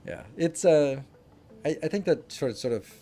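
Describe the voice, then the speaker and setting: A man's voice: a little high, lively intonation, moderate pace, warm tone, soft background music. A middle-aged man from Denmark with a Danish accent, chatting casually and self-deprecatingly with viewers during a game stream, rambling with restarts. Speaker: gaming livestream host. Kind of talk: casual chat with viewers while gaming.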